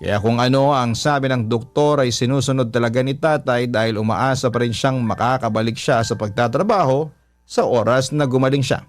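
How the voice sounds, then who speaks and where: A man narrating a listener's letter in a heartfelt, measured way. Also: medium pitch, soft background music. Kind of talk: reading a listener's letter aloud.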